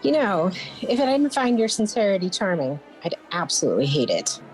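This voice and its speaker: Lady acting a haughty medieval queen, forthright, lively swings in pitch, music under it. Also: a little fast, natural voice, low-quality recording. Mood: grudging resolve. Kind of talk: fantasy radio play acting.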